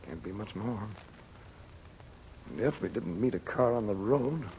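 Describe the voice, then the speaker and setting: A man playing a calm, cautious uncle in a 1940s radio adventure, speaking in a measured, commanding way. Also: on the low side. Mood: grave, subdued. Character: calm, cautious uncle leading his young nephew through ruins. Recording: muffled, noisy old radio recording.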